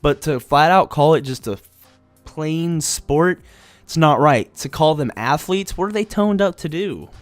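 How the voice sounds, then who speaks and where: A man's voice: fairly high pitch, lively intonation, measured pace, no echo, soft background music. A young man with a nasal, stuffy voice from allergies, giving a blunt, opinionated casual rant as a gaming vlogger. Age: young adult. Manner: blunt, opinionated, many "you know"s.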